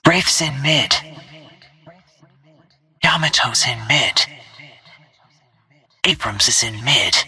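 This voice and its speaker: A woman playing a cold, stealthy assassin in a video game, speaking terse, deliberate callouts in a breathy, husky, hushed voice. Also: very deep voice, exaggerated voice. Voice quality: breathy, husky, half-whispered. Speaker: playable video game character.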